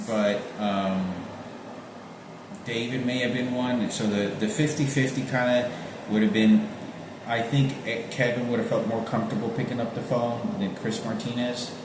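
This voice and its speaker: A man with a fairly low voice delivers a rambling personal monologue. He talks haltingly, circling back and repeating himself as he searches his memory. The sound is heavily echoed, with clear noise behind him. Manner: halting, repetitive, searching for names. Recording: very echoey room with noticeable background noise.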